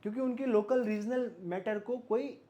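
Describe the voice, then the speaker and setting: A man's voice: very high-pitched, moderate pace, mellow, muffled recording. A man with an Indian accent giving an informal explanatory lecture as a teacher, often posing questions and checking in with listeners. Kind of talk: informal explanatory lecture mixing Hindi and English.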